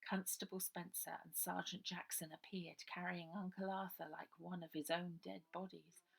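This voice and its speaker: A woman's voice: fairly low pitch, flat delivery, moderate pace, vocal fry, breathy edge. A woman reading her children's novel aloud as a dramatic storybook narration.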